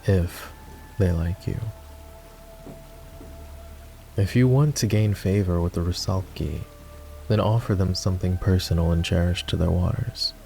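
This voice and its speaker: A male voice actor playing a gruff, sardonic fantasy knight in a roleplay ASMR, with a teasing, commanding manner. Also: low-pitched, moderate pace, mellow, slight background noise. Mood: wry, reminiscing.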